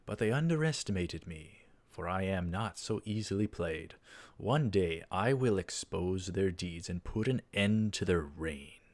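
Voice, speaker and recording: A man's voice: deep voice, animated, a little slow. A man reading a visual novel aloud, voicing a grandiose young mad scientist in a pompous, theatrical manner. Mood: grandiose, defiant.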